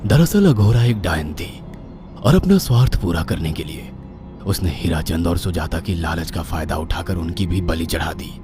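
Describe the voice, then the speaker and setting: A man's voice: deep voice, lively intonation, moderate pace. A man giving a dramatic, scripted narration of a horror story. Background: music.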